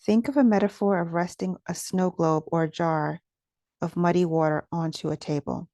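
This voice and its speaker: A woman guides a meditation in a relaxed, warm voice that sits a little low. Her delivery is gentle and soothing, with soft pauses and a noticeable vocal fry as she gives each instruction. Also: dry recording, clean studio sound. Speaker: guided meditation teacher and host.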